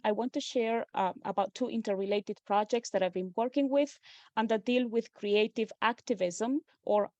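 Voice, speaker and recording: A woman's voice: mid-range voice, a little mellow, no room sound. Argentinian woman giving an academic lecture. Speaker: guest speaker. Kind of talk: academic lecture over video call.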